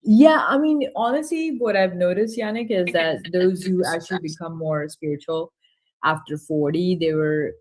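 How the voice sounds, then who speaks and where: Low-pitched woman hosting casual chat. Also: moderate pace, darkish tone, no room sound, low-quality recording. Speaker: host. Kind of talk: casual conversation.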